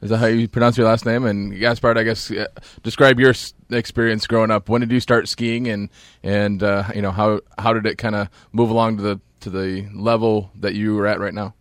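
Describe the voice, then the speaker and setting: Man, low pitch, interviewer, casual sports chat, hesitant phrasing, dry recording. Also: little pitch movement, a little fast, few pauses, no echo. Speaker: host interviewer.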